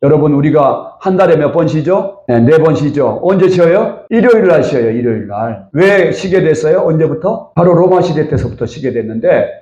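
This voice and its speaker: A man's voice: on the high side, expressive, normal speed, hardly any pauses, mellow, lo-fi sound. A male pastor preaching a Sunday sermon in a church, putting questions to the congregation and calling for amens. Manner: preaching with rhetorical questions to the congregation and calls of amen. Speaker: senior pastor. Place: church sanctuary. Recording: roomy church echo.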